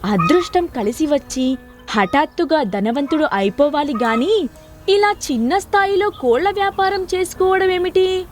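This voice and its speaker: A woman's voice: sits high, expressive, a little slow, faint music behind. A woman voicing a greedy, impatient younger brother who dreams of riches, in a wishful storybook manner. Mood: dissatisfied, wishful.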